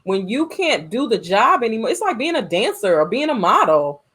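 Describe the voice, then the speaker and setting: An American woman with a somewhat high voice speaks as a podcast co-host. Her talk is casual and opinionated, and she repeats short phrases firmly to press each point. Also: very animated, normal speed, fairly bright tone, breathy edge. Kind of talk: casual movie discussion.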